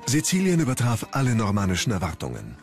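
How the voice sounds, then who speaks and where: A man with a fairly low voice narrates a history documentary. He reads a scripted story as a narrator would. Music plays clearly behind his voice throughout. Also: huge pitch swings, quick pace, a little mellow. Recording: music bed under the voice. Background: background music.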